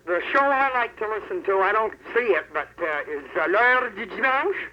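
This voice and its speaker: A man's voice: high-pitched, expressive, normal speed. A man calling a radio show, chatting casually over a telephone line. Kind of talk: casual phone chat. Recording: muffled telephone call on radio.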